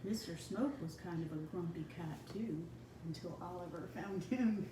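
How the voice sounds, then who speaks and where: A woman reading a picture book aloud to young children in a deliberate way, pausing for asides to the listeners. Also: fairly low pitch. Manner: slow, deliberate phrasing for children. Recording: roomy, distant mic with clear background noise.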